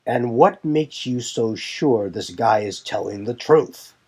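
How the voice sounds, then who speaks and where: A man voicing a suspicious, gruff warrior with drawn-out delivery in an audio drama. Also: medium pitch, lively intonation, a little mellow, heavily acted. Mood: skeptical. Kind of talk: audio drama character acting. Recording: music bed behind the voice.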